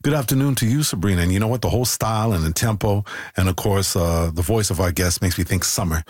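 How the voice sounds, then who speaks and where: Male radio host, deep and husky, engaged interviewer, dry booth. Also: fast talker, darkish tone, no echo. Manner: engaged, chiming in often. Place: radio studio.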